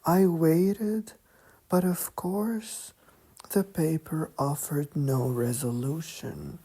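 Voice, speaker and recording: Woman, low mellow voice, breathy, hushed, extremely slow, calm sleep story narration. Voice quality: soft, breathy, often slipping toward a whisper. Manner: calm, monotone, hushed, with long pauses.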